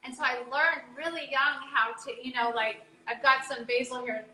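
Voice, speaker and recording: A woman's voice: high voice, normal speed, bright, forceful tone, echoey room, low-quality recording. An American woman hosting a live cooking stream from her kitchen, chatting in a rambling way with many restarts. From United States. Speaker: cooking show host. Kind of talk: casual live-stream chat. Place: home kitchen.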